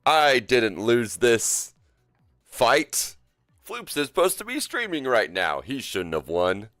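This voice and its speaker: A man's voice: mid-range voice, very animated, takes it slowly, fairly bright tone, no room sound, studio quality, faint music behind, voice pushed up. A man putting on a whiny, protesting voice for an indignant viewer who insists she lost unfairly.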